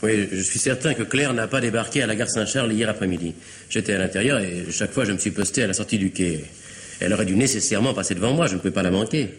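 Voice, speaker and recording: A man's voice: fairly low pitch, quick pace, a little hiss or hum, lightly acted. An actor playing an earnest young man, the son in a French radio mystery, speaking with assured precision on an old recording. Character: earnest, certain young son of the factory owner.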